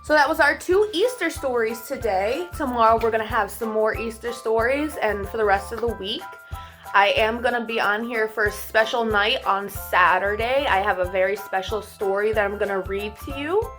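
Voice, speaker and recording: A woman hosting children's storytime, expressive and affectionate, speaking simply as she would to young listeners. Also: a little high, normal speed, soft background music. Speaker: storytime host reading to children. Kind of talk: animated children's storybook reading and friendly address.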